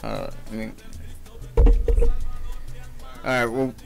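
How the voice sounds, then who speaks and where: A man's voice: medium pitch, little pitch movement, drawn-out words, gravelly, music in the background. A teenage man hosting a youth radio show, chatting casually with lots of fillers and laughter. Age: teens.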